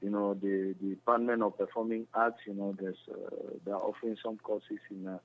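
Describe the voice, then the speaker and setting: A man with a Cameroonian accent answering interview questions in a halting, repetitive, earnest explaining way. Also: lowish voice, flat delivery. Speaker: interview guest, stage actor. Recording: muffled lo-fi radio phone call.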